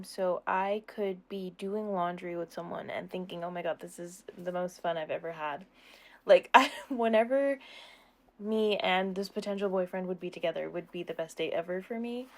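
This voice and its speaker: A young woman in a casual question-and-answer chat, talking in an informal, rambling, giggly way. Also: medium pitch. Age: young adult. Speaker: content creator answering subscriber questions.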